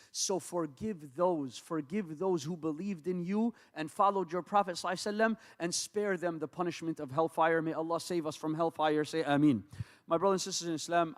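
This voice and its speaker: A man born and raised in America, an imam preaching in a mosque, with rapid Arabic invocations and earnest English. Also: a little high. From United States. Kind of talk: mosque talk with Arabic recitation. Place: large mosque hall. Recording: long hall reverb.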